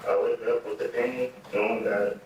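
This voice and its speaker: A man reading a victim impact statement as a grieving father, in a flat, sorrowful way. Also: medium pitch, normal speed, slight background noise. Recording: very echoey hall, distant from mic.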